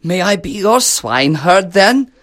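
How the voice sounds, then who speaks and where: A woman's voice: fairly low pitch, very animated, unhurried, a bit bright, voice pushed up. A woman voicing a polite prince disguised as a humble beggar in a storybook, courteous and pleading.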